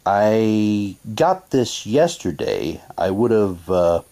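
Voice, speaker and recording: Man, lowish voice, very lively intonation, casual unboxing chat, theatrical read-aloud phrasing. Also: takes it slowly. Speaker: vlogger unboxing a collectible audio drama CD. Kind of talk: casual unboxing talk with theatrical reading of packaging text.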